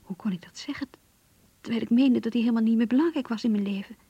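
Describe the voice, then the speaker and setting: Lovestruck young diarist, very husky breathy soft voice, earnest, radio play, muffled sound w music behind. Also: female voice, on the high side, fast talker, no room sound. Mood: yearning. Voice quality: breathy, hushed. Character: lovestruck young woman reading her diary, earnest. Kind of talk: radio play acting, diary narration.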